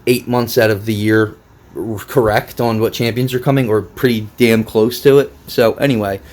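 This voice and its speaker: A man's voice: on the low side, steady tone, normal speed, a little mellow. A man hosting a casual gaming read-through with commentary, speaking in a blunt, exasperated way with plenty of swearing. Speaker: gaming video host.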